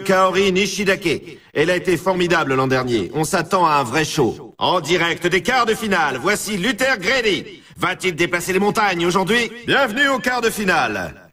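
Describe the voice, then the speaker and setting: An actor playing an excitable male snowboard race announcer in a video game, dramatic and emphatic like a showman. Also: high voice, distant mic, heavily acted. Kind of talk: sports game commentary.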